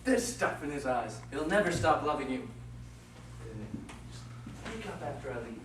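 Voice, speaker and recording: A man's voice: fairly high pitch, lively intonation, moderate pace, close to an everyday voice. A man playing a mischievous male forest sprite in a stage play, recorded from far off in an echoey hall. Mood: sly. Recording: echoey hall, distant mic, noisy.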